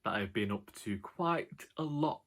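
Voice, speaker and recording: A British man with a loud voice rambling casually to camera in a wry, sarcastic way, like a vlogger. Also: fairly low pitch, expressive, measured pace. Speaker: vlogger and author.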